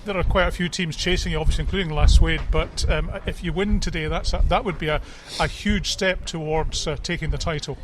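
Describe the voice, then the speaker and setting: Male sports interviewer, fairly high pitch, conversational, light background noise outdoors. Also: fairly quick, hardly any pauses. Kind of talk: conversational pre-match interview.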